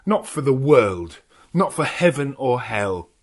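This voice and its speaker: A man's voice: mid-range voice, big pitch swings, slow, husky, full character voice. A man voicing a distraught, hot-tempered young husband in an impassioned, declamatory way, in a gothic audiobook. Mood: outraged.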